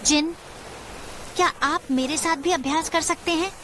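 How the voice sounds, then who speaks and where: An actress voicing a cheerful, hopeful young woman fighter in a dubbed video game cutscene, speaking softly and earnestly. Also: very high-pitched, normal speed, slight background noise. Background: game music.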